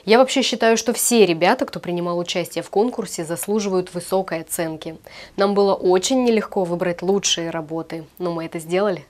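A woman in her thirties answers in an interview as a competition judge. Her voice sits slightly low for a woman, picked up on a lapel microphone. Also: fairly quick, darkish tone. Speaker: TV staff member and festival judge. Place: indoor office.

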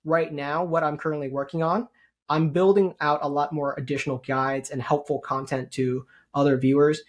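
A man in his thirties with a squeaky voice, a guest speaking earnestly and politely on a podcast over a video call. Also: steady tone, normal speed. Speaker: guest YouTuber. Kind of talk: casual interview chat. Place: home room with gaming chair.

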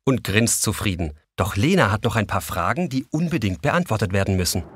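A man's voice: fairly low pitch, animated, a little fast, slightly rough, dry recording, clean studio sound. A man narrating a scripted story for children as the narrator.

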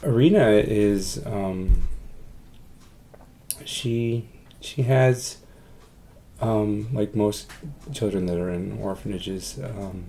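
Low, gravelly man speaking haltingly. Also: mellow, slight background noise. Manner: halting, hesitant delivery with long pauses. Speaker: adoptive parent, interviewee. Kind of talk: reflective documentary interview. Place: room at home, indoors.

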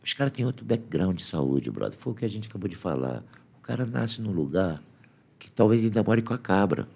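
Lively older Brazilian man, slangy talk. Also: fairly low pitch, a little fast, gravelly, slightly rough. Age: 50s. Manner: animated storyteller, slangy, laughs often. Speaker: podcast guest, jiu-jitsu fighter. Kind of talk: casual chat. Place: small podcast studio with foam panels. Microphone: studio mic on boom arm, headphones.

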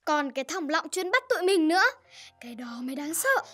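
A street-smart young stray cat from a cartoon, very high and bright in voice. It is cocky, teasing and bossy, like a confident little mentor, over faint music. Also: very animated, a little fast, exaggerated voice. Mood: instructing, confident. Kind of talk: animated cartoon dubbing.

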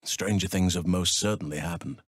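Deep, measured elf druid. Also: male voice, husky, dry recording, studio quality. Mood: warm, reflective. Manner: measured, formal, solemn phrasing. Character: ancient, earnest male elf druid, calm and nature-loving. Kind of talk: video game character dialogue.

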